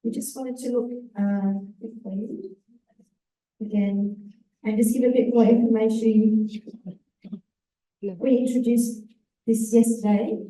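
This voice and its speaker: Woman, conference presenter, relaxed with fillers, warm dark timbre, distant mic in a large hall. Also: fairly high pitch, slow pace, long pauses. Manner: relaxed, with fillers and self-corrections. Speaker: executive officer presenting at a conference. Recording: echoey hall, voice far from the mic.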